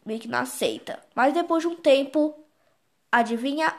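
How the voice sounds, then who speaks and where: A Brazilian woman with a fairly high voice talks casually to viewers as a video creator. The delivery is unscripted and rambling, often circling back and repeating phrases while searching for the next word. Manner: rambling, repetitive, searching for words. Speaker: video creator explaining own animated horror episode. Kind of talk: casual unscripted recap commentary.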